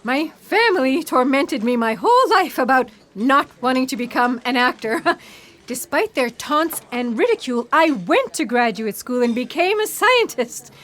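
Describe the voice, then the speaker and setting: An actress as an anxious female paleontologist in a comic sci-fi audio drama. Her voice sits high. She is defensive and easily flustered, halting as she explains herself. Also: lively intonation, a bit bright. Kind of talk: comedic sci-fi audio theatre acting.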